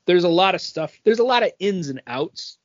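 A man's voice: sits high, expressive, moderate pace, no echo, highs cut off. A man co-hosting a podcast in casual chat, thinking aloud and filling with many "like"s. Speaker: podcast co-host.

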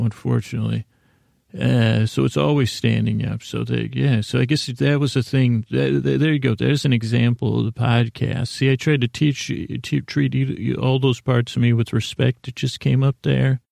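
Gravelly, rambling American man. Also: medium pitch, darkish tone, no echo, studio quality. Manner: meandering, stammering, self-deprecating, keeps interrupting himself. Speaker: podcast host. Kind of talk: rambling bedtime sleep podcast monologue.

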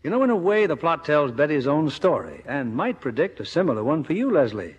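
A man's voice: a little high, big pitch swings, brisk, slightly rough. A man hosting a scripted 1950s radio interview in a formal, polished manner. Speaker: host. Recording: muffled old radio broadcast, hall reverb.

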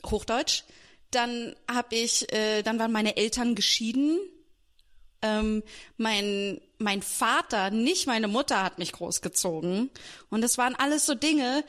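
A woman from Germany talking candidly and informally about herself in a podcast conversation, often breaking off and restarting. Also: fairly high pitch. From Berlin and Hesse, Germany. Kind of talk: personal, confessional podcast conversation.

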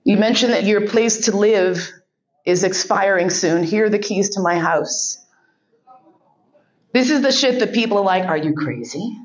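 Woman speaker, frank and profane storyteller, middle pitch, slightly muffled lo-fi sound. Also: normal speed. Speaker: podcast host giving a keynote speech. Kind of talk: storytelling speech.

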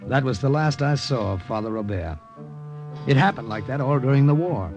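A man's voice: medium pitch, expressive, normal speed, dull audio, music in the background, lightly acted. An actor playing an American spy who narrates his wartime mission in a plain, matter-of-fact American voice. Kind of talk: 1940s radio drama narration.

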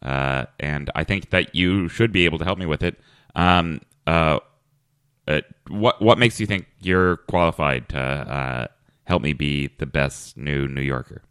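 A man hosting a comedy podcast, talking in a dry, halting way full of fillers. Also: rumbling low, fairly bright tone, smooth, no room sound. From Kentucky. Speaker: podcast host. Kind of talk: deadpan comedic podcast chat.

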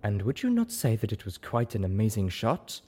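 A man voicing a calm, observant female zebra in an audiobook story, speaking frankly in measured, formal phrases. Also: on the low side, husky, full character voice.

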